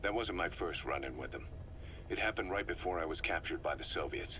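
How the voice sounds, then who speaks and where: An actor playing a grim, embittered mercenary commander, recounting things with intense deliberation in a dramatic video game style. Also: male voice, low voice, lively intonation, fairly quick, bright, forceful tone. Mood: grim, haunted. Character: embittered, driven male mercenary commander briefing his boss. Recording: faint noise, cassette tape recording.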